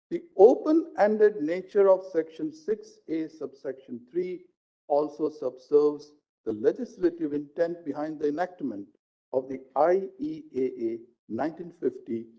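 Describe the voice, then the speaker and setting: An Indian man reads a court judgment in a formal, measured way. His voice is very soft-edged and dark, pitched a little high for a man. The audio is dull and lo-fi, like a live stream. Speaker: judge reading out a judgment. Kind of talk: formal reading of a legal judgment.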